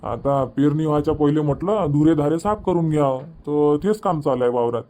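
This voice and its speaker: An obliging village farmer dad acted by a man from a cartoon comedy. His voice is soft-edged and mellow, pitched a little high for a man, with a homely Vidarbha Marathi accent. He talks agreeably and repeats himself. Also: a little fast, close to an everyday voice. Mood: matter-of-fact. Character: easygoing, obliging older village farmer father. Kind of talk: homemade comedy cartoon dubbing.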